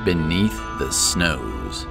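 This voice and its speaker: A male storyteller with a deep voice narrates a fantasy drama. His delivery is deliberate and dramatic. Loud music plays beneath the narration. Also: sing-song, gravelly.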